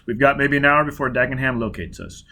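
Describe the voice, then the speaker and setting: A man voicing a brutal, driven spacer who barks clipped, loud orders in an audiobook reading. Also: medium pitch, quick pace. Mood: urgent.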